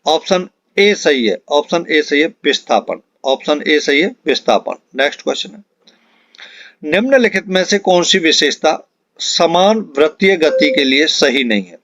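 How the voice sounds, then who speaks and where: A man teaching exam prep, reading out quiz questions and answers in an instructional way with deliberate repetition. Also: fairly high pitch, lively intonation, fairly bright tone, no echo. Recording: dull, lo-fi close dry recording.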